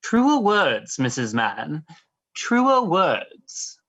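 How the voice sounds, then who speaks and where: A woman's voice: sits low, big pitch swings, very slow, fairly bright tone, full character voice. A woman playing a gaunt, sickly young villain, a scheming man with an oily, falsely courteous manner, in a stage play reading. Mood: sly.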